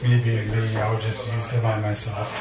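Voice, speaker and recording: A man giving a live poetry reading, speaking in a measured, deliberate way with dramatic pauses and casual introductions. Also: lowish voice, monotone, recorded from a distance, loud music behind the voice. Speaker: poet reading at a poetry event. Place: indoor cafe venue before a live audience. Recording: echoey room recorded from afar, audience murmur.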